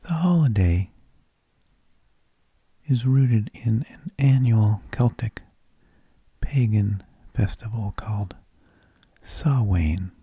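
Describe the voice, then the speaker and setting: A man hosting ASMR, speaking in a husky, breathy whisper with halting phrases and long pauses. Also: on the low side, animated, warm tone, no echo. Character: mild, tedious energy vampire who lulls people to sleep. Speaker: ASMR host.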